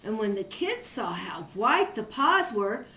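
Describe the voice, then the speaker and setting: An older woman, a grandmother, reading a children's story aloud in an expressive storytelling manner. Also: medium pitch, measured pace. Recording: echoey room.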